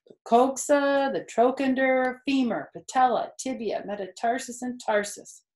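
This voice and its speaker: A woman's voice: sits high, steady tone, a little slow, darkish tone, echoey room, voice pushed up. A woman voicing a clever, patient female spider in a storybook, instructing precisely and matter-of-factly. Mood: precise, proud.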